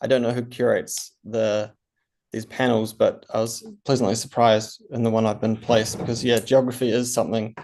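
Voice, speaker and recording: A man's voice: medium pitch, little pitch movement, moderate pace, darkish tone. A man writer on a panel, talking hesitantly with many ums and restarts. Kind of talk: panel discussion answer.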